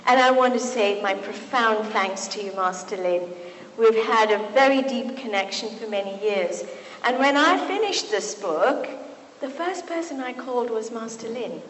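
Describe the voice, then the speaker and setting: A middle-aged woman from Kenya gives a physician's lecture in a large university hall. She tells stories with lively asides in a fairly high voice, and the room's long echo rings around her words under a faint hiss. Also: moderate pace. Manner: warm and storytelling, with lively asides. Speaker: physician and speaker. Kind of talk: lecture talk to an audience. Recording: echoey hall, faint noise.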